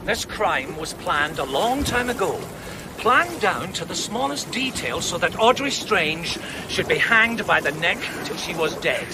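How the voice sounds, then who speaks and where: High-voiced, intense amateur sleuth. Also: male voice, big pitch swings. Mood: grave, intent. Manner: theatrical, deliberate, building suspense. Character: intense amateur sleuth laying out a murder case. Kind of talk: radio drama acting. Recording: noisy outdoor boat ambience.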